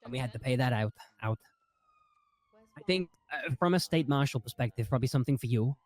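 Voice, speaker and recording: A man in a roleplay meeting, playing an ex-prosecutor who lays out legal advice in a measured, lecturing way with frequent restarts. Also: medium pitch, darkish tone. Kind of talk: in-character roleplay meeting talk.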